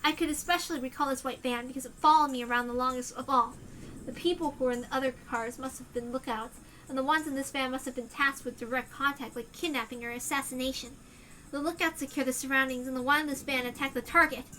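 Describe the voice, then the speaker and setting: A woman's voice: high-pitched, steady tone, fast, bright, forceful tone, a little hiss or hum, rough recording. Rena, a woman voicing a paranoid teenage girl. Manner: earnest, tense conviction. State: hoarse. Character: paranoid teenage girl narrator, convinced and intense.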